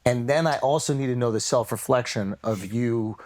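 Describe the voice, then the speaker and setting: A man's voice: mid-range voice, moderate pace, a little mellow. Man teasing with probing questions. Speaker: podcast host. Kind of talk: casual comedic interviewing.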